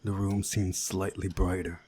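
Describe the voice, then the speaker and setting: A man reading a visual novel aloud as narrator, in a measured storytelling way with a husky voice. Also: low voice, flat delivery, warm tone, a little hiss or hum. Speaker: gaming video narrator.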